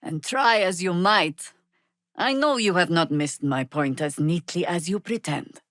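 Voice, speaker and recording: An actress playing a seasoned, middle-aged druid woman in a video game, a wry and stern resistance fighter who speaks with measured, commanding dryness. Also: lowish voice, a bit bright, no echo, clean studio sound. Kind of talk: video game character dialogue, dramatic acting.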